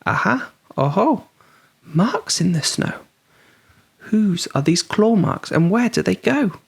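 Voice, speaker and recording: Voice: medium pitch, very animated, slow pace, gravelly, breathy edge, voice pushed up, full character voice. A reader voicing a young female monster child, brave and curious, with eager childlike questioning, in a children's storybook. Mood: brave, searching.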